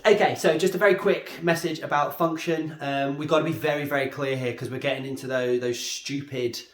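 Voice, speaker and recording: A man's voice: a little high, steady tone, fairly quick. A man with a head cold, teaching anatomy at a board and often checking in with a questioning "yeah?". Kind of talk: whiteboard anatomy lesson. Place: room with a drawing board. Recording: echoey room.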